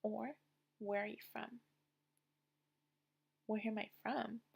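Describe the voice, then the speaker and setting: Husky, flat-voiced young woman reading softly. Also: a little high, takes it slowly. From United States. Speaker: narrator of a personal essay. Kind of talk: reading a personal essay aloud.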